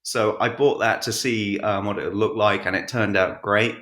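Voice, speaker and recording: A man's voice: lowish voice, steady tone, moderate pace, a bit bright. A man chatting casually as an interview guest, answering in a hesitant, understated way, recorded with an echoey, roomy sound. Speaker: podcast guest and audiobook narrator.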